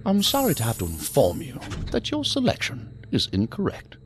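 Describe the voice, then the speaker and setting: A man's voice: on the high side, wildly swooping pitch, normal speed, background noise. A man playing an eerie, urbane elevator attendant in a science fiction audio drama, with ornate, mock-courteous phrasing. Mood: teasing, officious. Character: eerie, urbane male elevator attendant in green suit, menacingly polite.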